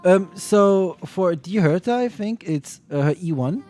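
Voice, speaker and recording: A man with a somewhat high voice chats casually on a livestream about a game. He rambles, restarting and correcting himself mid-sentence. Soft music plays quietly behind him. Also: animated, measured pace. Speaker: streamer.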